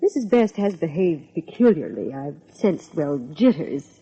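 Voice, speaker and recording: An actress playing a proper, reserved social secretary, formal and guarded, in old-time radio drama style. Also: female voice, sits low, very animated, measured pace, warm tone, breathy edge, heavily acted. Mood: confiding, uneasy. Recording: muffled old radio recording.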